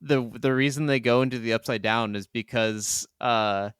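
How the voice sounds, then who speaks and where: A man's voice: medium pitch, fairly even intonation, moderate pace, fairly bright tone, clear, resonant tone, dry recording, studio quality. A man co-hosting a podcast, chatting casually and enthusiastically about video games and laughing easily. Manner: enthusiastic, gushing. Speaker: podcast co-host.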